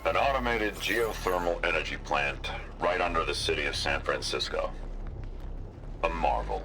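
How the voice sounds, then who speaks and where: A man's voice: sits low, moderate pace, poor audio. A man playing a smug, self-satisfied tech tycoon in a video game audio log, boastful in manner. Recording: loud music behind.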